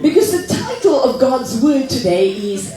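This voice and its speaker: Slow, lively woman preacher in echoing hall. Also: high-pitched, soft background music. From South Africa. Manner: speaks in short phrases, pausing for translation. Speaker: visiting preacher. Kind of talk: sermon delivered through an interpreter. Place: church hall. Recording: echoey hall from far off.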